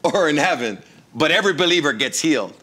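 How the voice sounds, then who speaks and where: A man's voice: on the high side, animated, fast talker, bright, forceful tone. A middle-aged man, a pastor, teaching faith in an earnest, emphatic way during a studio conversation. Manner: earnest, emphatic, quotes scripture and everyday sayings. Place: studio set with couches.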